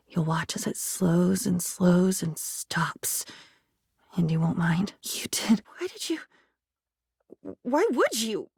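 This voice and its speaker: A woman's voice: mid-range voice, breathy edge, no room sound, studio quality. A woman voicing an anxious, lonely living diary in a video game, rambling and disjointed, lurching between moods and volumes in a theatrical way. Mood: haunted, confiding, then anguished, desperate.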